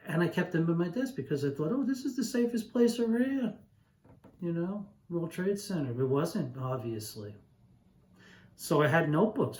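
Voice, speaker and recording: A middle-aged or older man, a poet, speaking in a conversational, reflective and sometimes halting way as he reads and reminisces. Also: fairly high pitch, a little mellow. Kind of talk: poetry reading and informal reminiscence. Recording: echoey room.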